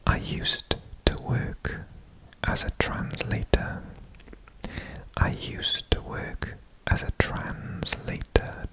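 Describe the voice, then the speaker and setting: A man from Wales, whispering a quiz about himself for an ASMR video, repeating single words softly over and over. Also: low-quality recording. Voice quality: whispered throughout. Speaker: ASMR creator.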